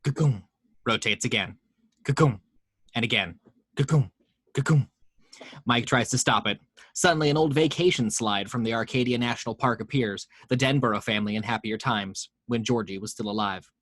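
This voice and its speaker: A man's voice: medium pitch, moderate pace, a bit bright, no room sound. A man reading the action lines of a screenplay aloud at a home table read, steadily, voicing the sound effects. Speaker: narrator reading action description.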